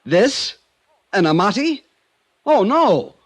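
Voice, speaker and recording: Fretful, high-voiced, animated office manager. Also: male voice, slow pace, husky, heavily acted. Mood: dismayed. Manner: fretful, stammering, exclaiming. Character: anxious, flustered male insurance company manager. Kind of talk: old-time radio drama acting.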